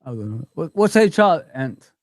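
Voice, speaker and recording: A man from Africa with a fairly high voice talks football on a fan livestream panel. He reminisces with animated enthusiasm and keeps checking in with "you know what I mean". The sound is close and dry. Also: normal speed, no echo. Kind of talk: casual football chat.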